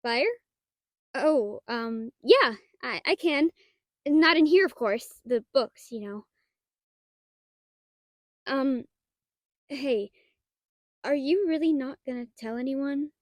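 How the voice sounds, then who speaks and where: A woman's voice: sits high, takes it slowly, long pauses, dry recording. A woman playing a shy, nerdy half-dragon librarian in a roleplay audio drama, talking in a hesitant, flustered, stammering way. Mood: nervous. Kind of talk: roleplay audio drama, one-sided dialogue.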